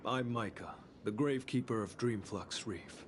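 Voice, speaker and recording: A man voicing a courteous, modest gravekeeper who calmly guards exiles in a video game. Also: medium pitch, moderate pace, noisy background, lightly acted. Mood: calm, welcoming. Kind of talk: English anime game voice acting.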